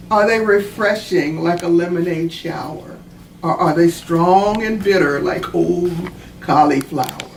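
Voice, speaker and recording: A woman reading a children's storybook aloud in a deliberate, instructive way, pausing to explain things as she goes. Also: mid-range voice, creaky, a little mellow. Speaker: author reading her own children's book. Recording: roomy, distant mic, faint background noise.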